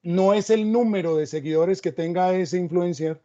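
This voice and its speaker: A man moderates a panel discussion, turning the talk with reflective remarks and short stories. His voice is high for a man yet soft and mellow. The recording sounds dull and lo-fi. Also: normal speed. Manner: reflective, storytelling asides.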